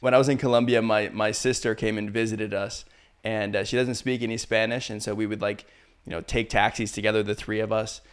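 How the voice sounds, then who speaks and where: A man from Canada hosting a casual interview, relaxed and chatty. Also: fairly low pitch, a little fast, clean tone. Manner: relaxed, chatty, laughs often. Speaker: podcast host.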